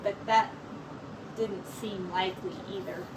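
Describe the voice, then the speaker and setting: A woman teacher reading a picture book aloud to young children in an expressive, encouraging way. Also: mid-range voice, a little slow. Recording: distant mic with clear background noise.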